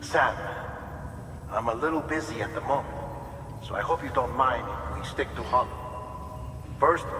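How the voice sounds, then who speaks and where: Give me an actor as an echoey, distant technical expert. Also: male voice, mid-range voice, lightly acted. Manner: precise, explanatory. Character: busy, matter-of-fact male technical expert giving instructions. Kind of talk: video game cutscene acting. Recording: echoey, distant, noisy hologram call.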